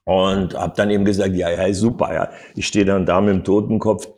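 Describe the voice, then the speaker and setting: An older man telling anecdotes as an interview guest, in an animated storytelling manner with frequent little tag words. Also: sits low, a little fast. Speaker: acting coach and interview guest.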